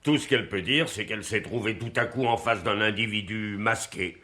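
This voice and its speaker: Casting: a doubting, grouchy police inspector for a radio crime drama, fairly low voice, quick to brush arguments aside. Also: male voice, bright, forceful tone. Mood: exasperated. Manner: dismissive, argumentative. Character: sceptical, stubborn, grumbling male police inspector. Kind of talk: French radio mystery play acting.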